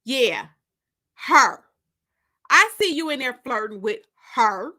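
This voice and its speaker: A woman's voice: fairly high pitch, animated, slow, pushed and bright, exaggerated voice. A woman voicing a jealous, indignant woman in love, sassy and accusing, in a dramatic audiobook. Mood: angry.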